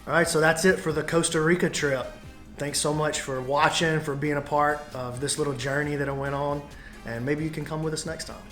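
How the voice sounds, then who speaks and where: A man with a somewhat high-pitched voice talks casually, like a vlogger and coach. His speech is informal and full of slang. Music runs clearly under his words. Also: fairly even intonation, brisk, fairly bright tone. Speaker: vlogger and jiu-jitsu coach. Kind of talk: casual vlog chat.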